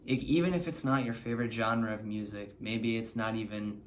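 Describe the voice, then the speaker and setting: A man's voice: fairly low pitch, steady tone, fairly quick, slight background noise. A man in his twenties, a band member, speaking in an earnest, heartfelt way in an interview. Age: 20s. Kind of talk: documentary interview.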